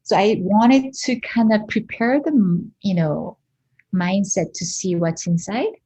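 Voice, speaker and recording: A woman from South Korea with a Korean accent, speaking as a guest artist in an interview in a thoughtful, halting way with many fillers and restarts. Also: medium pitch, mellow, slightly rough, poor audio. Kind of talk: reflective interview talk.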